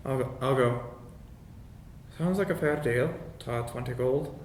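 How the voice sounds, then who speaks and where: Hesitant male tabletop gamer, roomy recording. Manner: hesitant, halting, polite. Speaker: player in a tabletop role-playing game. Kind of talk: casual in-character and descriptive game play. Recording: echoey room with faint rumbling noise.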